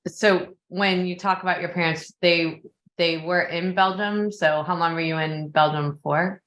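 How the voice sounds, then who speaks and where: A woman hosting a podcast, reading a scripted intro and then interviewing a guest in a measured, encouraging way. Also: on the low side, fairly even intonation, fairly bright tone. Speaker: podcast host.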